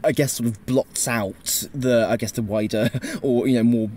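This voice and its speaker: A man's voice: mid-range voice, steady tone, moderate pace, a little mellow. A man as a podcast panelist, giving casual analysis in a tentative, hedging way. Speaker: podcast panelist discussing audio drama.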